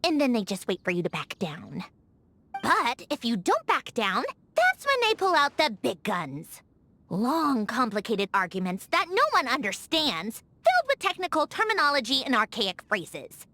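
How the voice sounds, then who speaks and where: An actress voicing a haughty, prickly young genius lady automaton in a video game, huffy and easily flustered, with a secretly soft heart. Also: fairly high pitch, huge pitch swings, normal speed, heavily acted. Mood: exasperated, ranting. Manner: haughty, huffy, flustered when caught being kind. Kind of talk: scripted video game character voice acting.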